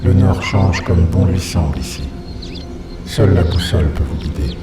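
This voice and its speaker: A man playing a cold, mysterious announcer in a horror film, giving formal, impersonal survival warnings in a measured way. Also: low-pitched, close to an everyday voice. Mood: cold, ominous. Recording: distant, echoey, loud music underneath.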